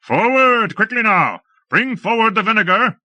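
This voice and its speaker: A man's voice: mid-range voice, expressive, moderate pace, sharp tone, dry recording. A man voicing a male lord who barks urgent commands to his troops in battle. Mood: shouting orders. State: throat thick with fumes. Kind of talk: audiobook character voice.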